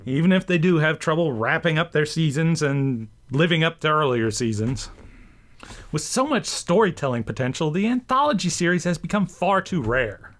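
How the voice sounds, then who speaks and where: A man's voice: fairly high pitch, very animated, normal speed, fairly bright tone. A man hosting a scripted video essay, narrating with enthusiasm and an emphatic, theatrical delivery. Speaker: host and writer.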